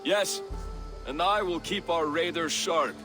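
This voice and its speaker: A proud, resentful Viking raider, higher-pitched. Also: male voice, takes it slowly, over music. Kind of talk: dramatic game cutscene acting.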